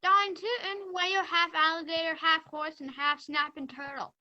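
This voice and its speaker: A sly, folksy raccoon voiced by a woman, full of country exclamations. The pitch is high and the tone bright, on a dull, lo-fi recording. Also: steady tone, moderate pace, heavily acted. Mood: sly, flattering. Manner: exclaiming, country-style talk. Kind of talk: readers theater for children.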